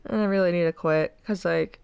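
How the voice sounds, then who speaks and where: A woman's voice: lowish voice, creaky, a little mellow, clear, resonant tone, dry recording, dull audio. Stuffy-nosed young woman rambling casually. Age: 20s. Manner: rambling, peppered with "like". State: stuffed-up nose. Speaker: podcast host. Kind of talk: casual chat.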